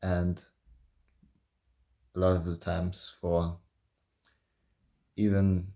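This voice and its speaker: Very slow, creaky young man's voice. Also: deep voice. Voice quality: soft voice. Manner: calm, halting, hesitant with long pauses. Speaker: vlogger. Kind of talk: confessional solo vlog, thinking aloud.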